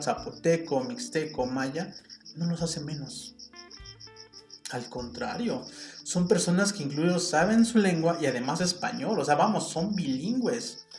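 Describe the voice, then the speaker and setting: A man with a Mexican accent hosting a reflective solo podcast, talking in an earnest, opinionated way and thinking aloud with small restarts. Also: fairly high pitch. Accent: Mexican Spanish. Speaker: podcast host. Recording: roomy sound with faint guitar music behind.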